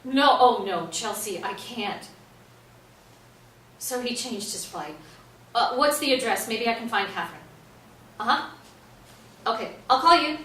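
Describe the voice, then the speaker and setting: Actress playing anxious woman playwright, bright forceful voice, halting, pleading, stage play, distant echoey mic. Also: on the high side, lively intonation. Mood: flustered. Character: agitated, anxious woman playwright, talking to herself. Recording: roomy stage, distant mic, faint noise.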